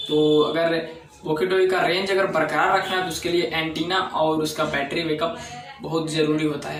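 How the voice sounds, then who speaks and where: High-voiced man in an echoey room. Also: a bit bright. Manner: repeats and rephrases himself often. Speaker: tech channel presenter. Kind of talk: informal explainer narration. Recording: echoey room with background noise.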